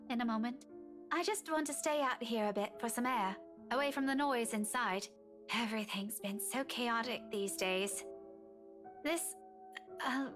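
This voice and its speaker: A gentle young woman from a visual novel, played by an actress, worn down and wistful. Her voice sits fairly high and she talks conversationally, with music playing clearly behind her. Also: natural voice. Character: weary, gentle young woman confiding in a friend.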